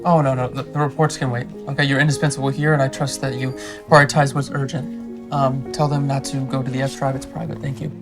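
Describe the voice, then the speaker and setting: A man's voice: mid-range voice, flat delivery, fast, close to an everyday voice. An actor playing a commanding, bitter male boss and ex-lover in an audio drama, curt in manner. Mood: businesslike. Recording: music behind the voice.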